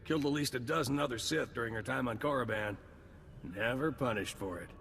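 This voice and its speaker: An actor playing a gruff male intelligence agent in a video game, curt and matter-of-fact. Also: mid-range voice, fairly quick, a bit bright, a little hiss or hum, close to an everyday voice. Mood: brisk. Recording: game audio with long reverb.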